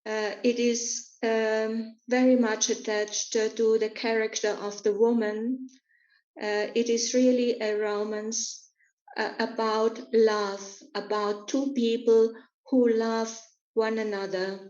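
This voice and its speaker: A woman's voice: fairly high pitch, flat delivery, takes it slowly, a little mellow. A woman interpreting an interview into English, hesitant and full of filler sounds. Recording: roomy, dull lo-fi recording.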